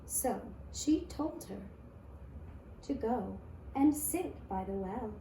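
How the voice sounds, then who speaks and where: A woman narrating a fairy tale for children in a deliberate, expressive storytelling manner. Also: mid-range voice, gravelly. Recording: echoey room with faint noise.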